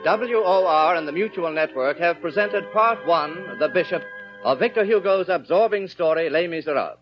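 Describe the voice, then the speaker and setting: Formal man, higher voice, 1930s radio announcing, dull vintage recording, light music. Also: animated, moderate pace.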